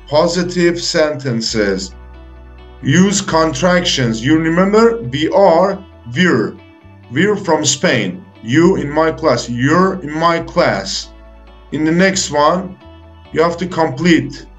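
The encouraging young man on an online beginners' English class, walking pupils through each exercise bit by bit, soft music under his slow voice. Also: on the high side. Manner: deliberate, short phrases with pauses, encouraging. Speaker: English teacher hosting a video lesson. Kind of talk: slow, step-by-step instructional talk for beginners.

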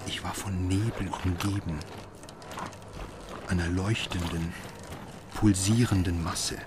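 An actor playing a haunted, exhausted father who narrates his own horror tale in a radio play, brooding and measured. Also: sits low, steady tone, very noisy. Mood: weary, dreamlike. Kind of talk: scripted first-person radio play narration.

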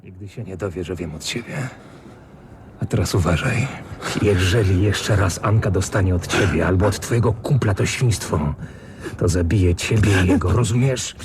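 A man playing a hard, sardonic middle-aged tough guy in a radio play, deadpan, menacing and very raspy. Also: normal speed, background noise. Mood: threatening. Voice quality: low, very raspy. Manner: deadpan, menacing, matter-of-fact.

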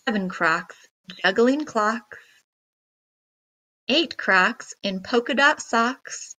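Bright-voiced woman reading a picture book. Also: on the high side, rough recording. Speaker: read-aloud narrator. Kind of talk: children's picture book read-aloud.

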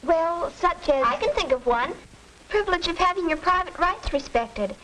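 An actress playing a pert, put-upon American teenage daughter in a 1940s educational film, with an earnest, slightly stagey delivery. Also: female voice, very high-pitched, lively intonation, normal speed, bright, forceful tone, full character voice. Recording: noisy, crackly 1940s film soundtrack.

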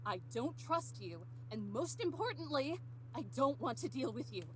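A woman's voice: sits high, very animated, moderate pace, slight background noise. A woman voicing a skeptical, no-nonsense young park ranger in a visual novel game, blunt and exasperated. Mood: firm, annoyed.

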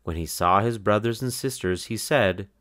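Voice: low-pitched, moderate pace, fairly bright tone. A narrator reading a children's picture book aloud in a scripted storytelling style.

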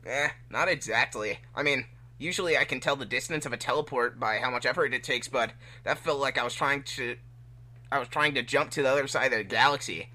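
A man's voice: mid-range voice, brisk, pushed and bright, slight background noise. A man voicing a cocky, indignant young hedgehog hero in a fan-fiction reading, casual and complaining.

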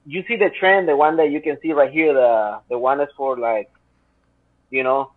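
A man's voice: medium pitch, fairly even intonation, moderate pace, no room sound. A man in his thirties from Central America, with a Spanish accent, telling his own story as an interview guest in an earnest, rambling way full of fillers. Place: home room. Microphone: video call. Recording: tinny phone-like call line.

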